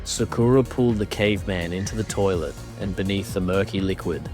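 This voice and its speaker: Deep-voiced man narrating horror, grave. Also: loud music behind the voice. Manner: grave, deliberate, ornate delivery. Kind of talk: dramatic scripted horror narration.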